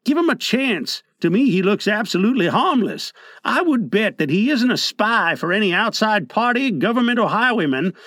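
A man voicing a calm, fair-minded old prospector with a folksy, reassuring manner in an audiobook. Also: high-pitched, moderate pace, no echo, studio quality, pitched above the performer's own voice, exaggerated voice. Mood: soothing. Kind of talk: audiobook character voice.

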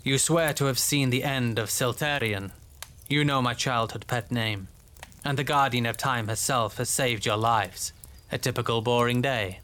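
A man plays a male fantasy lieutenant of middling pitch. He is wry and self-assured, formal in his speech and earnest about his duty. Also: expressive, normal speed, fairly bright tone, close to an everyday voice. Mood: dry irony. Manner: ironic, formal. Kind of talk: dramatised fantasy story dialogue.

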